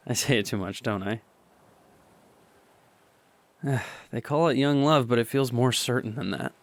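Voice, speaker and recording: A young man playing an earnest, nervous teenage boy in love, speaking softly and stammering in a student radio drama. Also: medium pitch, no echo. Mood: wistful. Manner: halting, stammering, soft.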